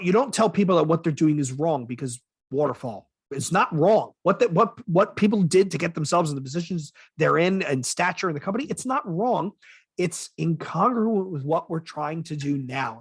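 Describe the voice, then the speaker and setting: A man's voice: fairly high pitch, breathy edge, dry recording, clean studio sound. A man hosting a casual podcast discussion, thinking aloud in a conversational way and correcting himself as he goes. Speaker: podcast host.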